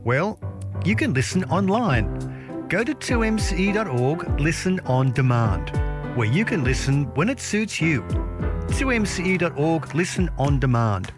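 Lively man voicing a radio promo. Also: on the high side, a little slow. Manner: upbeat, bouncy delivery. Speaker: station promo announcer. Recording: radio promo with music bed.

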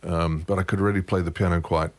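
A man's voice: low-pitched, fairly even intonation, fast, no echo. A man, a musician guest telling his life story in a wry, thoughtful, self-mocking way. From Australia. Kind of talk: relaxed storytelling interview.

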